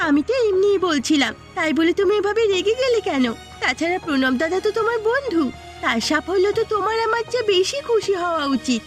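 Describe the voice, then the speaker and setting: A woman voicing a village wife in a cartoon, animated and expressive. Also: very high-pitched, fast, faint music behind. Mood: hurt, pleading. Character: village women, a peasant's wife and her elderly mother-in-law. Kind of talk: Bengali cartoon voice acting.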